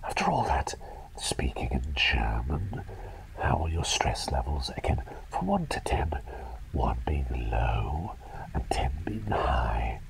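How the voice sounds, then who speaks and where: A man voicing an eccentric, doting plague doctor in a breathy, hesitant, soothing whisper for an ASMR roleplay. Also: rumbling low, very animated, slow, slight background noise, full character voice, poor audio. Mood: curious, fussing. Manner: hesitant, muttering, gently soothing and coaxing.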